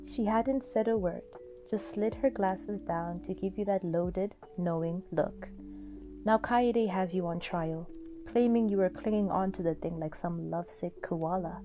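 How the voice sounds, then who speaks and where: A woman's voice: on the low side, unhurried. A woman reading scripted second-person story narration expressively, as the narrator of an audio fan fiction. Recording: music under the voice.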